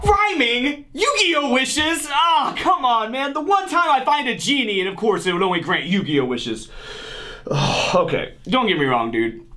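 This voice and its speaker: Young male host, sarcastic, exasperated, high and lively voice, desk-cam at home. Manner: exasperated, sarcastic, animated rants. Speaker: YouTuber host. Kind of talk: comedy sketch. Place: home room with posters, indoors.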